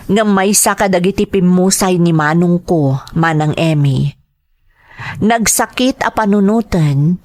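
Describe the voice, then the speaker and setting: A woman's voice: on the low side, a little mellow. A woman with a Filipino accent playing a dutiful, earnest grown daughter in a radio drama. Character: dutiful, grieving grown daughter telling her story. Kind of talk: radio drama acting, slow narration.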